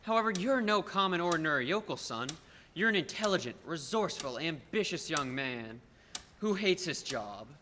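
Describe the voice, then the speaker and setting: A young man playing a scheming rich businessman in a radio play, patronizing and persuasive, with deliberate emphasis. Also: fairly high pitch, big pitch swings, pushed and bright. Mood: condescending, persuasive. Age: young adult. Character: scheming rich businessman, condescending, smooth-talking and domineering. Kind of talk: filmed radio play acting. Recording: echoey hall, background noise.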